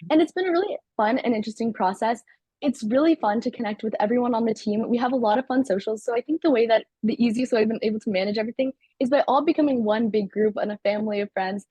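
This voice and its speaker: Fast-talking teenage girl, husky and dry. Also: a little high, mellow, no echo. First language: Turkish. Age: teens. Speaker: student company CEO. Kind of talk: interview answers.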